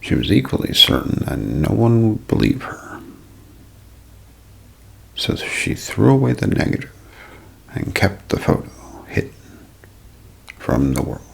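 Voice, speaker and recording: A man narrating a scripted mystery as ASMR in a breathy, hushed half-whisper, phrase by deliberate phrase. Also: lowish voice, big pitch swings, poor audio. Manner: slow, deliberate, broken into short phrases.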